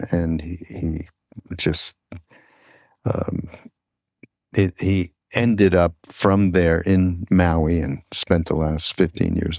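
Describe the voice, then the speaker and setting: Older male podcast host, deep and unhurried, halting reflective storyteller, close dry sound. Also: gravelly, darkish tone, dry recording. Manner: halting, searching for words.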